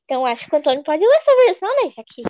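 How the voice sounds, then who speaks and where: A young woman with a high voice chats casually on a podcast and rambles from one thought to the next. The recording is dry and close, with a dull, lo-fi sound. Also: big pitch swings, racing, no echo. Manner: chatty, rambling. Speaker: podcast guest. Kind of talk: casual chat.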